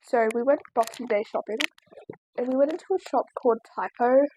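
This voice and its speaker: Young aussie girl rambling on her vlog, kinda high voice, noisy background. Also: brisk, dark tone. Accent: Australian. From Australia. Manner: chatty, rambling, lots of likes and ums. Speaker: crochet vlogger. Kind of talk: casual Q&A vlog. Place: at home, seated in a chair.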